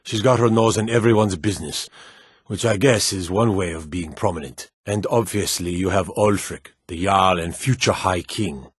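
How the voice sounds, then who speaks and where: An actor playing a weathered, plain-spoken Nordic guard and townsman in a fantasy video game, gruff and dutiful, with a measured, weary manner. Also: low-pitched, no echo, studio quality. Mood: reflective, courteous.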